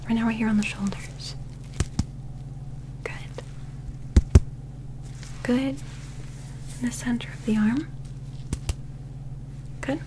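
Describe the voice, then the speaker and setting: A young woman whispers softly, close to the mic, as a reassuring examiner in an ASMR roleplay. Her voice sits fairly high and breathy, and she takes her time, soothing the listener as she goes. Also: rough recording. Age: young adult. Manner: gentle, soothing, unhurried, reassuring.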